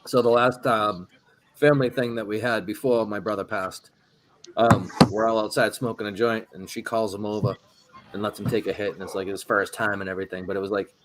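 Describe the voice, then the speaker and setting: A man telling stories in an unhurried, laid-back way during a casual chat, with frequent ums. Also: fairly low pitch, steady tone, background noise.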